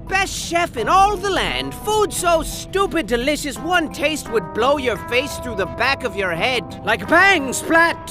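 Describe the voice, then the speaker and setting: Super high excitable storybook narrator for a cartoon game, sarcastic n silly, swoops all over the place, music behind. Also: male voice. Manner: over-dramatic, swooping from hushed to shrieking, silly asides.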